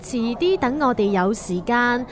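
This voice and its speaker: A woman's voice: on the high side, lively intonation, measured pace, a little hiss or hum. An actress playing a plucky, sarcastic young woman in a radio drama, speaking with a Hong Kong Cantonese accent. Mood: easygoing. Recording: music bed under the dialogue.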